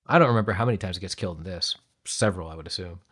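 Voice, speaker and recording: A man's voice: sits low, racing, fairly bright tone, breathy edge. A man with a Canadian accent co-hosting a podcast, in casual fan banter. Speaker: podcast co-host.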